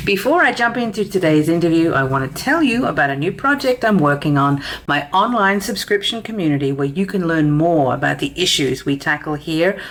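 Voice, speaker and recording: A middle-aged woman hosting a podcast about sex and relationships, moving from a scripted intro into relaxed interview chat. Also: low voice, poor audio. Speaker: podcast host, sexologist.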